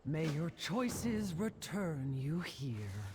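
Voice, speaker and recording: Slow, stilted stone being. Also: female voice, sits low, sing-song, darkish tone, a little hiss or hum, heavily acted. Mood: stoic, formal. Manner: slow, stilted, formal short phrases. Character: stoic earthen stone being, formal and machine-like.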